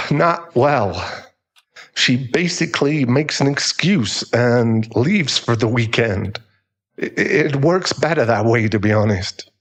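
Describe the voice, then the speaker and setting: Man acting a troubled, halting divorced father. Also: medium pitch, animated, a bit bright, heavily acted. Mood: weary, frustrated. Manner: halting, stammering, emotional. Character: troubled divorced father, a remorseful bully in therapy. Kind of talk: dramatic audio roleplay acting.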